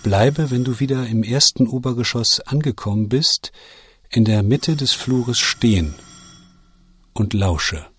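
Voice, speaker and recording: A man guiding the listener through scripted narration, giving deliberate instructions as an audio walk narrator. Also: medium pitch, fairly bright tone, breathy edge.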